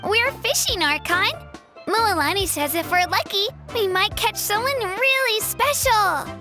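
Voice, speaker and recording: A woman's voice: very high-pitched, unhurried. A woman voicing an earnest, polite young girl who speaks hopefully in a game cutscene. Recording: game score music underneath.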